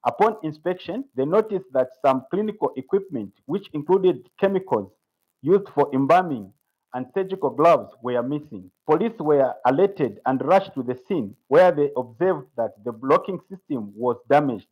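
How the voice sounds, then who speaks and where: A man's voice: a little high, expressive, moderate pace, husky. A man with a Zambian accent reading out a formal prepared statement as a police spokesman. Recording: dull lo-fi voice clip.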